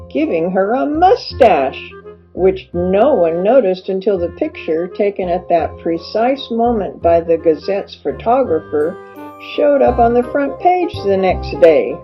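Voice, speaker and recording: Unhurried woman reading a storybook, dull sound. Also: medium pitch. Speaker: children's author reading aloud. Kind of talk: scripted storybook narration. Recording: dull lo-fi recording with music behind. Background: music.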